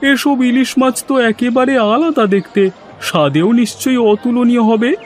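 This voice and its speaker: Man playing a high-voiced admiring cartoon villager. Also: moderate pace. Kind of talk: scripted cartoon dubbing.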